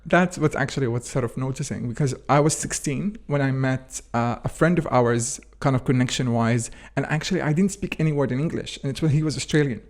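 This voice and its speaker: A man's voice: mid-range voice, a little fast, few pauses. Rambling man. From Jordan. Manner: rambling, leans on "actually" and "sort of". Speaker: interview guest, food scientist and company founder. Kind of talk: conversational interview answers.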